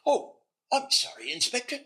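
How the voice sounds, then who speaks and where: A man's voice: very high-pitched, big pitch swings, moderate pace, background noise, full character voice. An actor playing a deferential, earnest police sergeant in an old-time radio drama, reporting respectfully to his superiors.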